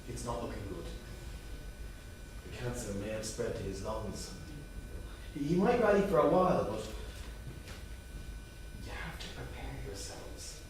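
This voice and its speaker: A man playing a kindly family doctor, gentle and grave, in a staged play reading. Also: on the low side, sing-song, unhurried, pauses often. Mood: grave, sympathetic. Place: small theatre, staged reading. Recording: very echoey theatre, distant, loud noise.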